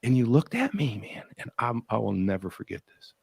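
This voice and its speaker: A man's voice: medium pitch, sing-song, brisk, vocal fry, breathy edge, no echo. A man hosting an interview podcast, talking enthusiastically and rambling with many restarts. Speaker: podcast host. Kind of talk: interview host, casual chat.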